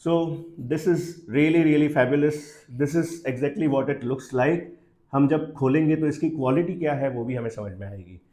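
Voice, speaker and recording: Mellow Indian man doing an unboxing. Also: on the high side, slow. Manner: switches freely between two languages mid-sentence. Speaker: host of a product unboxing channel. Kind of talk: casual unboxing and first-impressions commentary, improvised.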